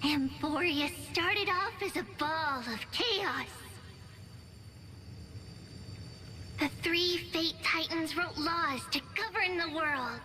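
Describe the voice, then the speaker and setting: A woman's voice: very high voice, slow pace, hardly any pauses, bright, forceful tone, faint music behind, full character voice. A woman voicing a small childlike bath sprite in a video game, a bossy, cheerful storyteller with a playful, teacherly manner. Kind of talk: video game character narration.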